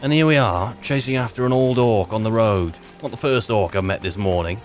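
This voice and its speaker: A man's voice: on the low side, brisk. Wry man roleplaying over game music. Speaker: roleplay video maker and player. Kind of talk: in-character improvised game roleplay commentary.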